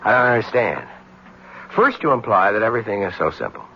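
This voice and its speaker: A man's voice: lowish voice, big pitch swings, fairly quick, pushed and bright, slight background noise, heavily acted. An American actor playing a wry, punchy, smitten but skeptical male newspaper reporter in a 1970s radio drama. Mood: puzzled, pressing. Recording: muffled old radio broadcast recording.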